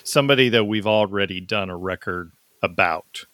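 A man, a fellow podcast host, chatting casually and easily, with quick affirmations. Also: on the low side, a bit bright, no room sound, studio quality. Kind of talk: casual conversation.

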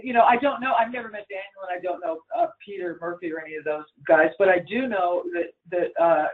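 Rambling, blunt male musician, high-pitched, heard over a tinny phone line. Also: quick pace. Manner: rambling and blunt, swears freely. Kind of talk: casual interview over the phone.

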